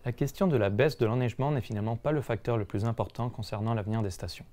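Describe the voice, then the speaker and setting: A man's voice: mid-range voice, quick pace, warm tone. Male documentary narrator. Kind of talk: scripted narration.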